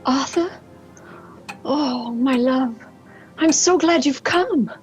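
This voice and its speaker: High-voiced, fragile young gentlewoman. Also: slow pace, faint music behind. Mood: tender. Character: frightened, fragile young gentlewoman, lovelorn victim. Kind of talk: gothic horror audio drama.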